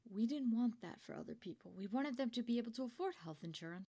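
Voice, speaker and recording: A woman playing a kindly, proud older company founder in an ASMR roleplay, chatty and doting, with a creaky voice. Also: a little high, expressive, fast talker. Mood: proud, reflective. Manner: gentle, chatty, doting, with throat-clearing and rambling asides. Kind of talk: ASMR roleplay monologue to the listener.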